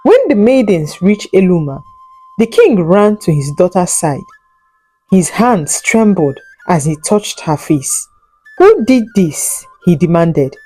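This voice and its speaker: Slow, dramatic woman storyteller with lively pitch. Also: slightly rough, dry recording, faint music behind, low-quality recording. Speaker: storyteller, narrator. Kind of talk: scripted folk tale narration, dramatic.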